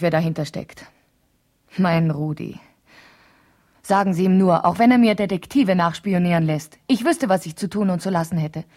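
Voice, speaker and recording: A vain, talkative wife in a seventies German radio drama. Her voice is fairly low for a woman and softly mellow. She chatters on, wanders off the point and justifies herself with an indignant edge. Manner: chatty, digressive, self-justifying. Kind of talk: 1970s German radio play, letter monologue.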